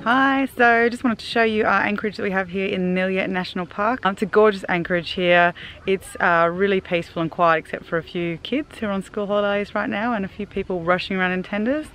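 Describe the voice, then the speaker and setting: A woman in her thirties filming herself outdoors at a calm anchorage, talking in a cheerful, easygoing way. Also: mid-range voice, fairly quick, faint music behind. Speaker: sailing vlogger. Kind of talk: casual vlog to camera. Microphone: camera held at arm's length.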